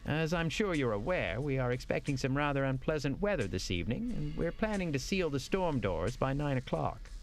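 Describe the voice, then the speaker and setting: A man's voice: medium pitch, big pitch swings, brisk, hardly any pauses, soft background music. A man playing a suave, deferential hotel manager in an audio drama, formal, courteous and theatrical. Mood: smooth, reassuring.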